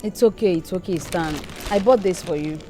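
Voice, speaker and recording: A woman playing a polite, soft-spoken young student in a comedy skit. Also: fairly low pitch, fairly quick, soft background music, natural voice. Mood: gentle.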